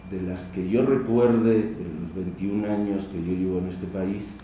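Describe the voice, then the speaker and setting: A middle-aged man with a Spanish accent lectures in a measured, explanatory way, turning to his listeners now and then. His voice is low and mellow. It is picked up from a distance in a large hall with heavy reverb and a faint hiss underneath. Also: steady tone. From Spain. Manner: measured, explanatory, addresses the audience directly. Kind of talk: conference lecture. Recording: distant, echoey hall recording.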